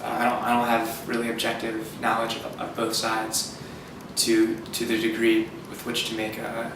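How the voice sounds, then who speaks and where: A man's voice: medium pitch, monotone, normal speed. A young man giving hesitant interview answers, repeating words and filling with "like". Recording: very echoey room, distant mic, noticeable noise.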